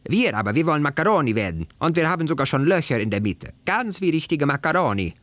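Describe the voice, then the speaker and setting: Man putting on tiny, high-pitched, eager little noodle children. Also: big pitch swings, quick pace, a bit bright, no room sound. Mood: eager, boastful. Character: tiny cheeky star-shaped soup noodle siblings, eager children.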